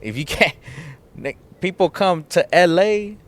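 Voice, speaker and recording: A man's voice: sits high, animated, measured pace. A young man from the San Fernando Valley, a guest musician in a casual interview, talking in a laid-back, slangy way full of "like" and "dog". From San Fernando Valley, California. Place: outdoors in front of a theater.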